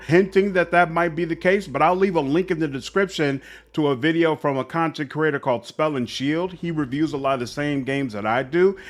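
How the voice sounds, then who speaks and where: A man speaking as a gaming channel host, giving enthusiastic, opinionated commentary straight to his viewers. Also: on the high side.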